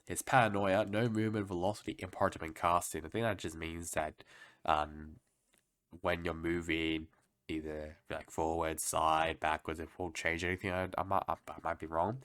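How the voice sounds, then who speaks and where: A young man with a very low, slightly sick voice reads through game patch notes casually. He hesitates, restarts and hedges often. The recording is dry and close, with no room sound. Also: fairly bright tone, no room sound. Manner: hesitant, many restarts and guesses. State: sick, voice lower than usual. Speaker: gaming video host.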